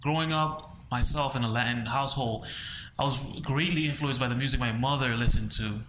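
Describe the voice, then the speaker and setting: A man hosting a podcast, giving introductions and asking interview questions in a measured, sincere way. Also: mid-range voice, fairly bright tone, a little hiss or hum. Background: music.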